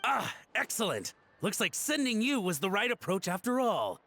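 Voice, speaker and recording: An actor playing a nervous, wealthy antique collector in a video game, with a flustered, obsequious, theatrical way of talking. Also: male voice, high-pitched, very animated, moderate pace, sharp tone, a little hiss or hum, heavily acted. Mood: ingratiating, awkward. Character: nervous, grovelling wealthy male antique collector, self-excusing schemer.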